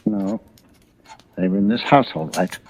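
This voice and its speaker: Husky, mellow, hesitant gentleman. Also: lowish voice, measured pace. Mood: puzzled, uneasy. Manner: hesitant, polite, apologetic. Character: mild, hesitant, puzzled male real estate agent. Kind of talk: old-time radio suspense acting. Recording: dull vintage radio drama recording.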